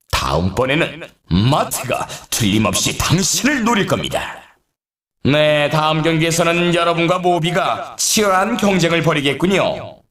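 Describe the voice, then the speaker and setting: High-pitched, excitable race announcer. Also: male voice. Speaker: sports game announcer. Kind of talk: scripted race commentary.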